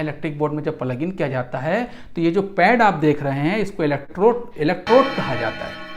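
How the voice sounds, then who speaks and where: Instructor explaining, mid-pitched voice. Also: darkish tone. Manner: didactic, rephrases terms in Hindi and English, repeats phrases. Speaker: instructor in physiotherapy and Unani regimenal therapy. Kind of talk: explanatory medical lecture.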